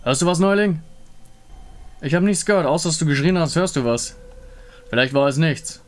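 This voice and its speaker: A man voicing a gruff, irritable warrior who hunts monsters in a game story, brusque and grumbling. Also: on the high side, normal speed, lightly acted. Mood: wary. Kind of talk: fan voice-over of a game story.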